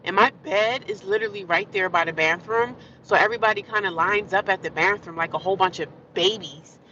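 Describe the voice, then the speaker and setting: A woman talks into her phone from behind the wheel, blunt and rambling, looping back over the same points as she vents to her viewers. Her voice is bright and tense, and the sound is muffled. A faint hum of road noise runs underneath. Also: mid-range voice, expressive, a little fast. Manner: blunt, rambling, talkative and repetitive. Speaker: livestreaming vlogger. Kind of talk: casual venting livestream. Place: in a car while driving.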